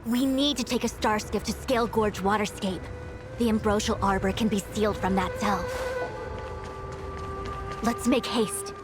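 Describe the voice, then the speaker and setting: A woman's voice: mid-range voice, steady tone, normal speed, pauses often, over music, close to an everyday voice. Woman acting a composed, commanding official. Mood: urgent, stern. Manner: formal, resolute, authoritative. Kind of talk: video game voice acting.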